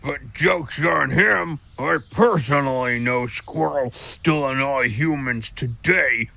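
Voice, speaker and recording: A man's voice: mid-range voice, slow pace, vocal fry, pushed and bright, heavily acted. A man voicing a boastful, grumpy giant talking squirrel in an audio drama, with a blustering storyteller's manner. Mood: boastful, storytelling. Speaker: voice actor. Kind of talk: audio drama character acting.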